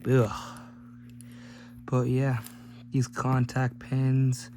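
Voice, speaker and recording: Male teardown host, mid-pitched and flat, casual rambling commentary with offhand jokes, clearly noisy humming recording. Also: very slow. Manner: rambling, jokey offhand remarks. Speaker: host of a gadget teardown video.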